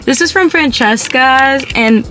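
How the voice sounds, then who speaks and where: A young woman chatting with her friend in a car, excitable and gushing over her purchases. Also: sits high, measured pace, slight background noise. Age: young adult. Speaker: vlog guest, friend. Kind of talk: casual chat. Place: inside a car. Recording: background music mixed in.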